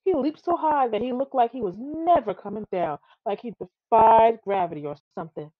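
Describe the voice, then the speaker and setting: Female reader as a boastful young streetball kid in a storybook, casual delivery, higher voice, dry lo-fi sound. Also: moderate pace, no echo, close to an everyday voice. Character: street-smart boys playing playground basketball, casual and boastful.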